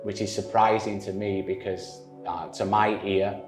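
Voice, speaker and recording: A man from northern England with a Manchester accent, answering interview questions in a measured way with frequent pauses. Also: lowish voice, flat delivery, roomy sound, over music, rough recording. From northern England, Manchester area. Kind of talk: explaining in an interview.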